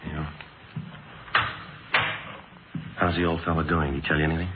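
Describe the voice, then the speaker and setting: An actor playing a businesslike police detective with a clipped, deadpan, polite manner, in an old radio crime drama. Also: male voice, a bass voice, racing. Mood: matter-of-fact. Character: businesslike, matter-of-fact male police detective sergeant. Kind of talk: 1940s-50s radio drama acting and narration. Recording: noisy, phone-like old radio recording.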